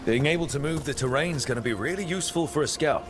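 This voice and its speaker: A man playing an easygoing, encouraging, gently teasing adventuring hero in a video game, speaking naturally. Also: a little high, fast, natural voice. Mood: eager. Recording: game music behind the voice. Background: game music.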